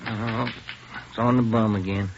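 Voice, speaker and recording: An actor playing an easygoing, practical police detective in a 1950s radio drama, with a casual, plainspoken manner. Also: male voice, lowish voice, steady tone, a little slow. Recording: muffled, noisy old radio recording.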